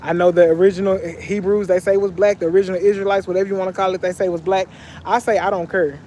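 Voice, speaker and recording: Young man from Texas, high voice, slangy livestream chat, phone mic, faint outdoor background noise. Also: little pitch movement, fast, mellow. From Texas, USA. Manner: loose, slangy, chatty. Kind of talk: casual live chat reading comments. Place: outdoors by a pool.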